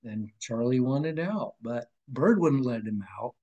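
A man's voice: medium pitch, animated, measured pace, mellow, dull audio. A man in his fifties, a podcast guest, giving an earnest, rambling personal account over a video call, with restarts and sudden drops in volume. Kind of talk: emotional personal testimony. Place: room at home with framed picture on wall. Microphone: video call webcam.

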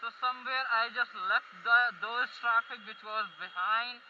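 High-voiced Indian man over loud vehicle noise. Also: steady tone, normal speed. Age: young adult. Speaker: motovlogger. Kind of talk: casual riding commentary. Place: outdoors, riding a motorbike on roads. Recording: muffled helmet recording with loud traffic noise.

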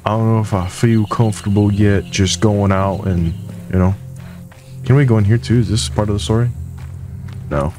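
Chill guy doing let's-play commentary, mellow low voice, music in the back. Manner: laid-back, joking, thinking aloud. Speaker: gaming youtuber.

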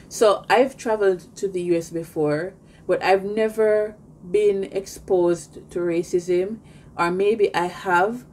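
Jamaican woman vlogging to camera, kinda low voice, earnest and measured, faint hiss behind her. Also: a little mellow. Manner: earnest, measured, speaks directly to viewers. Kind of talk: casual talk to camera. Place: indoors in front of a pink backdrop.

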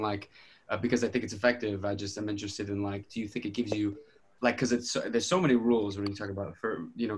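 A man with a slightly low voice asks questions casually. He rambles, searching for his phrasing, over a video call with faint noise. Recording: clearly noisy video-call audio.

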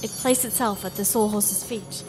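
An actress playing a spirited young princess who tells her adventure in an expressive storybook style for an audio drama. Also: medium pitch, normal speed, over music, natural voice, low-quality recording. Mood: wondrous.

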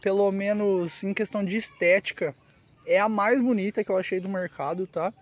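A man's voice: high-pitched, steady tone, moderate pace. A Brazilian man talking casually and with lots of slang, as a motorcycle vlogger filming outdoors. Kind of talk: casual vlog talk to camera, slangy. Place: outdoors on a quiet roadside by trees.

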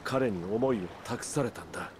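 An actor playing a resolute, brooding outlaw leader in a video game, speaking earnestly and with measured gravity. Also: male voice, fairly low pitch, background noise, close to an everyday voice. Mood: solemn, resolute. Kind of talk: Japanese video game cutscene acting.

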